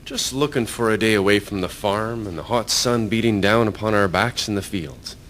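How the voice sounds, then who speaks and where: A man's voice: normal speed, a bit bright, natural voice. A man playing an easygoing, hard-working Irish farmer in a historical radio drama, speaking in folksy rural phrases. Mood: genial, thirsty. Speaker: amateur voice actor, storyteller. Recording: faint rumbling background noise.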